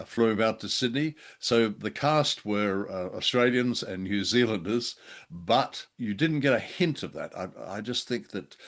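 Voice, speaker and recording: A man's voice: lowish voice, fairly bright tone. A man co-hosting a podcast, chatting casually in a hesitant, wry way. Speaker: podcast co-host. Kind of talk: casual review chat.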